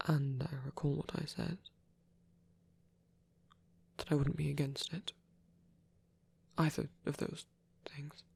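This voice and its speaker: A man performing a soft ASMR roleplay as a lonely, gentle old vampire priest, speaking haltingly and tenderly in formal words, breathy and often near a whisper. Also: a little high, little pitch movement, heavily acted. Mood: hesitant, embarrassed.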